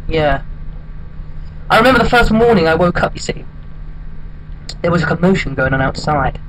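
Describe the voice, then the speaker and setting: Casting: actor as hapless wistful bachelor, high male voice, deadpan and halting comic monologue, noisy homemade recording. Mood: deadpan, rueful. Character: hapless, wistful single man telling his odd life story.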